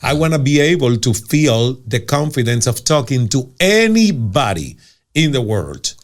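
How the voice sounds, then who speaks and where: A man's voice: mid-range voice, big pitch swings, a little slow. A man with a Cuban Spanish accent and Spanish as his first language, hosting a casual interview, earnest and emphatic, often restarting his words. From Cuba. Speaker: podcast host.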